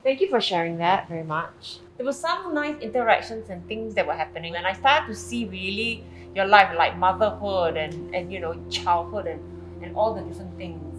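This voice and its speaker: A woman's voice: mid-range voice, moderate pace, faint music behind, poor audio. A woman facilitator speaking patiently and reassuringly to a workshop group.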